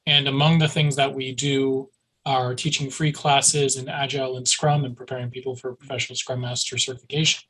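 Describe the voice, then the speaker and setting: Man on a video call, bright forceful voice, kinda dry humor. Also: medium pitch, flat delivery, fairly quick, poor audio. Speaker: meetup participant. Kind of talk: casual video call introduction.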